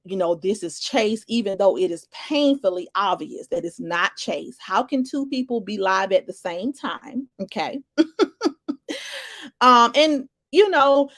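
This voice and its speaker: A woman's voice: mid-range voice, no room sound, clean studio sound. A woman giving casual live commentary, greeting viewers and rambling through restarts and fillers. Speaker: livestream commentator.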